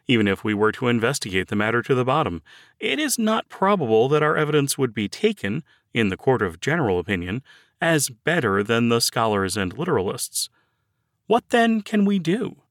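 A man narrating a written devotional sermon in a measured, earnest, formal oratorical manner. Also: mid-range voice, big pitch swings, no echo, studio quality. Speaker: audiobook narrator reading a sermon. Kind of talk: scripted devotional sermon reading.

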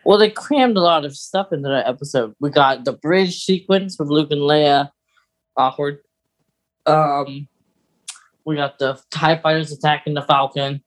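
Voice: mid-range voice, unhurried, rough recording. A podcast co-host chatting casually in a rambling, self-correcting way. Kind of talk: casual chat.

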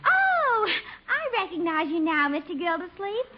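An actress playing a naive, scatterbrained, earnest job seeker in a 1950s radio sitcom. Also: female voice, very high-pitched, very animated, unhurried, heavily acted. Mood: dim, earnest. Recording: muffled old radio, faint hiss.

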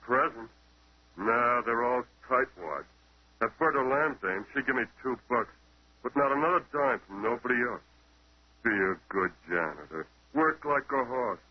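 Grumbling, husky janitor. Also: male voice, medium pitch, animated, unhurried. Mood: resentful, complaining. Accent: American. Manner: sullen, slangy, ungrammatical speech. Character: grumbling working-class male janitor playing dumb. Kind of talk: 1930s radio acting. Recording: dull old 1930s radio recording.

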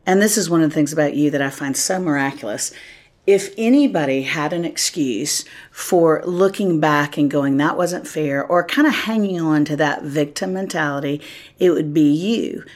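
A woman with a very low speaking voice hosts the conversation, chiming in with steady affirmations. She asks long, reflective questions, speaking deliberately and warmly to her guest. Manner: affirming, frequent "right" and "yeah" while listening. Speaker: host. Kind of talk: interview conversation.

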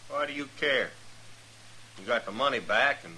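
An American actor playing a bitter, guarded outlaw prisoner in a 1950s radio western, recorded with hiss. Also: male voice, medium pitch, lively intonation, normal speed, sharp tone, low-quality recording. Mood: defiant. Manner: guarded, defiant, clipped. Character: bitter, proud outlaw prisoner of the Old West.

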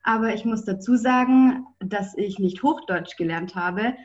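A woman's voice: mid-range voice, a little slow, lo-fi sound. A young German woman, a podcast guest, speaking fluently and thoughtfully. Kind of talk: casual interview.

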